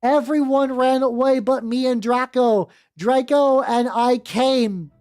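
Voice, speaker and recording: A woman's voice: high-pitched, steady tone, slow pace, a little mellow. A woman livestreamer reading fanfiction aloud and breaking into informal, sweary, rambling asides. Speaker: livestream host, VTuber. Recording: music playing behind the voice.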